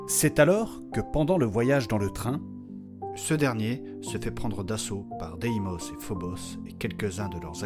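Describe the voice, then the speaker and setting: A man giving scripted narration and announcements as the narrator of an audio fiction series. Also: on the low side. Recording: music bed under the voice.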